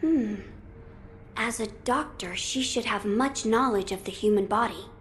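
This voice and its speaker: An actress voices an earnest boy lord in a video game. The delivery is composed and courtly, with quiet resolve. Faint noise sits behind the voice. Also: on the high side, measured pace, lightly acted. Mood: thoughtful, resolute. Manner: formal, thoughtful, composed. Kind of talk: video game cutscene acting.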